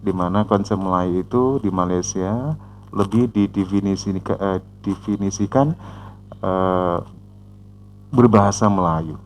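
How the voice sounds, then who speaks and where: A man hosting a reaction channel who comments on a video in a measured, explanatory way, often pausing with "eh" and tagging sentences with "ya". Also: low-pitched, a little hiss or hum. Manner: measured, explanatory, frequent "eh" fillers and "ya" tags.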